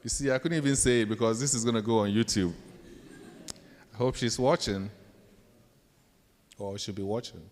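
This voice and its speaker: The sound is a big hall with long reverb around a man's lowish voice. He has a Ghanaian accent and speaks as a professor giving a talk. His delivery is anecdotal, slipping into bits of acted-out dialogue as he tells stories to students. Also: fairly even intonation, moderate pace, pauses often, darkish tone. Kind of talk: storytelling leadership talk to students.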